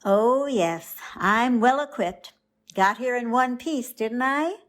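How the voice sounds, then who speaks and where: An actress plays a self-reliant, pointed woman in a radio play. Her pitch swings expressively while the words come out slowly, with dry, clipped wit. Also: animated. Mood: curt, offended. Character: brisk, independent woman guest, dry and prickly. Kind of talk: audio drama acting.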